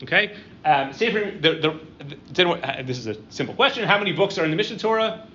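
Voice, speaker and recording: Middle-aged male lecturer, slightly high voice, talks in stops and starts with constant "right?" checks, dull muffled room recording with faint background hum. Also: animated, a bit bright. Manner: conversational, halting, frequent "um" and "right?" tags. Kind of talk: university-style lecture with audience questions.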